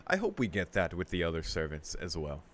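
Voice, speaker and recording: A man's voice: deep voice, huge pitch swings, brisk. A man streaming a visual novel, reading the story text aloud and adding casual, musing commentary with some swearing.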